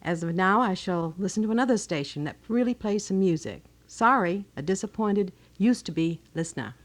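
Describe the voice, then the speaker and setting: A woman's voice: mid-range voice, expressive, brisk. A woman co-hosting a radio show, reading listener letters aloud, on a 1980 local broadcast. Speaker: radio co-host. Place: radio studio.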